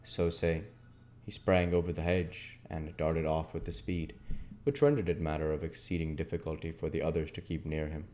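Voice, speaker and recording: A man reading aloud as host, in expressive literary narration with measured, dramatic phrasing. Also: extremely low pitch, slight background noise. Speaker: reader and host of an audiobook channel.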